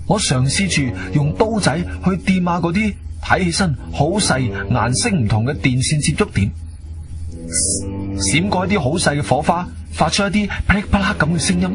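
A man acting as an intrepid adventurer, telling his story in expressive, suspenseful Cantonese radio-drama style. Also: fairly high pitch, lightly acted. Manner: expressive, suspenseful, colloquial delivery. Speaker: narrator and lead actor. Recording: background music under the voice.

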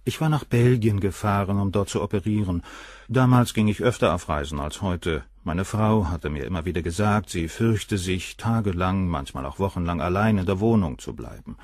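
An actor playing a cool, arrogant, middle-aged surgeon who talks down about the women around him, in a radio play. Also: male voice, sits low, normal speed, darkish tone, no room sound, studio quality. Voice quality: cool, cynical, dismissive.

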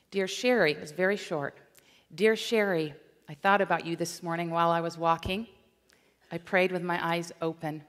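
A middle-aged woman from Michigan giving an animated, storytelling chapel talk on a large stage. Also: on the low side. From Michigan, USA. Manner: animated, gesturing while walking the stage. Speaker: chapel speaker. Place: large dark auditorium stage. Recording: long hall reverb.

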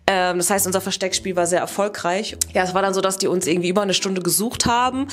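A woman's voice: mid-range voice, steady tone, fast. A young adult woman casually presenting and telling stories to camera, rambling and correcting herself as she goes. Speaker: YouTube presenter. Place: indoor room. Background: background music.

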